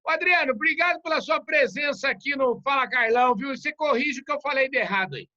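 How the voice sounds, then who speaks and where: A man's voice: very high-pitched, quick pace, sharp tone. A man hosting a podcast interview in a casual, chatty and jokey way. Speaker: podcast host. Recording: dull lo-fi sound.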